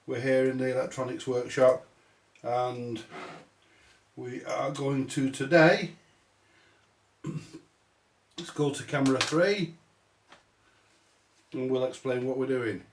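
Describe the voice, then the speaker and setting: Slow, stuffy-nosed middle-aged man. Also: mid-range voice, steady tone, roomy sound, slight background noise. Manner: slow, rambling, sweary, self-mocking. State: stuffy, snotty from a cold. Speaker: repair channel host. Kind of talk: casual workshop tutorial. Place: small cluttered electronics workshop, indoors. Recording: distant from the mic.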